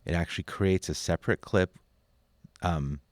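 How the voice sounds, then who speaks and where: A man narrating a software tutorial as an instructor, informal and thinking aloud as he goes. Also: sits low, moderate pace, no echo. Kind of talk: casual screen-recorded tutorial narration.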